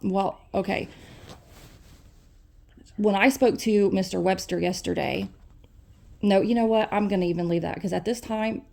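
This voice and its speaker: A woman's voice: mid-range voice, fairly even intonation, moderate pace, vocal fry, long pauses, dark tone. An adult woman making her case in a personal phone argument. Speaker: private caller in a family dispute.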